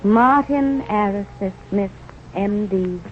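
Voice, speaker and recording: An actress playing a plucky, devoted young wife with frank, stubborn talk in a vintage radio drama. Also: female voice, medium pitch, slow pace, faint music behind, natural voice. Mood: tender, admiring. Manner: frank, firm, plain small-town talk. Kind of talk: 1930s radio drama acting. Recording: tinny, noisy old radio recording.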